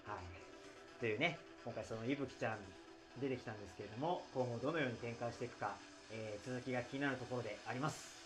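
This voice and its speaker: A man's voice: mid-range voice, quick pace, over music. A man hosting a casual solo podcast after-talk, explaining things in a hesitant, conversational way. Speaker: podcast host.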